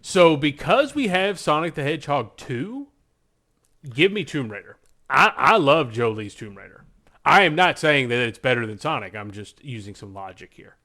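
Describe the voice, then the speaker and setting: A man's voice: medium pitch, big pitch swings, moderate pace, pushed and bright. A man co-hosting a podcast, chatting casually in an informal, slangy, emphatic way. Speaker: podcast co-host. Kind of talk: casual chat, picking movies in a bracket game.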